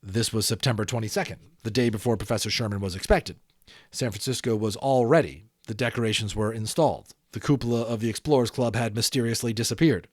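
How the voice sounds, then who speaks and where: A man's voice: lowish voice, quick pace, darkish tone, no echo, clean studio sound. A man narrating a children's audiobook expressively, with dramatic emphasis and chatty asides to listeners.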